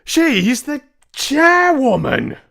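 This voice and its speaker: Shouting, outraged blustering father. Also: very high voice, very animated, extremely slow, creaky, voice pushed up, exaggerated voice. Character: outraged, spluttering, shouting middle-aged father. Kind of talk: storybook character reading.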